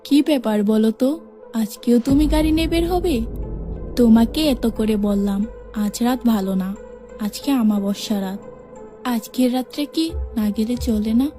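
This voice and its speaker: A woman's voice: on the high side, a little slow, long pauses, warm tone, faint music behind, natural voice. A woman voicing an anxious, superstitious young village wife who pleads with her husband. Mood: worried. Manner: pleading, worried.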